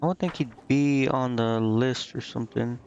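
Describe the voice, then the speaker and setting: A man casually commentating a game live and reading its text aloud, thinking out loud in a rambling way and stumbling over unfamiliar words. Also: mid-range voice, normal speed, dull audio, slight background noise. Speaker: game streamer.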